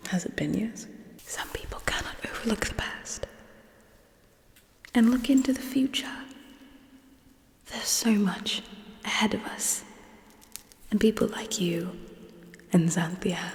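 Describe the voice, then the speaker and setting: A young woman voicing a coolly menacing, scheming female alien leader in a breathy, deliberate ASMR roleplay whisper. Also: a little high. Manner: slow, deliberate, hushed, coolly condescending. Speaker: ASMR performer. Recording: long reverberant echo.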